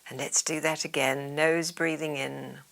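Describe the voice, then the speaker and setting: Low, gravelly-voiced woman guiding meditation. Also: fairly even intonation, measured pace. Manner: soft, soothing, half-whispered guidance. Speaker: yoga teacher. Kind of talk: guided meditation.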